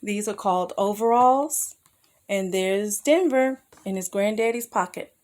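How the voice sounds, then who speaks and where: A woman reading a children's picture book aloud as a storyteller, expressive and careful, with asides to her young listeners. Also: medium pitch, measured pace. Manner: addresses young listeners with simple questions.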